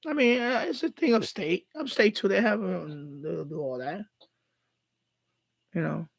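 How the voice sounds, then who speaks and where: A man's voice: sits high, measured pace, vocal fry, no echo. A man from the Bronx, chatting casually as a live stream host, thinking aloud and repeating himself. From Bronx, New York City, USA.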